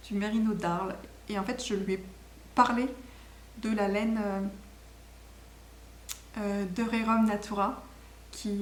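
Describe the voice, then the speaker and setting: A woman with a medium-pitched voice talks casually, as in a homemade video podcast. She is enthusiastic and rambling, often correcting herself mid-thought. The room is echoey, with a little noise behind her. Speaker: knitting podcast host.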